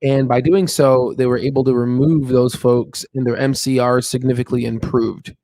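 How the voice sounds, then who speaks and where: A man hosting a casual live stream, thinking aloud in a halting way as he comments. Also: mid-range voice, level tone, dark tone, no echo.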